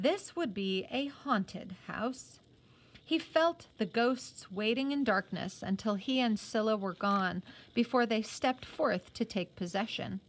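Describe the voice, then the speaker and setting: Woman, higher-pitched voice, read-aloud storytelling, teacher, explanatory asides. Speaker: teacher reading a novel aloud.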